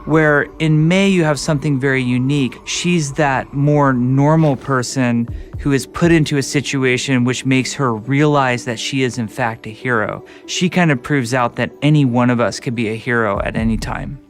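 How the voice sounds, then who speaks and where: A man's voice: mid-range voice, normal speed, few pauses, soft background music. A man talking enthusiastically about game storytelling in a behind-the-scenes documentary. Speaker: game developer interviewed. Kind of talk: documentary interview.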